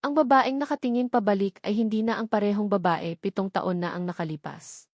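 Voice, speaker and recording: A woman narrates a scripted drama. Her voice sits at a medium pitch. The sound is very dry, with hardly any room to it. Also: fairly quick, a little mellow, dry recording.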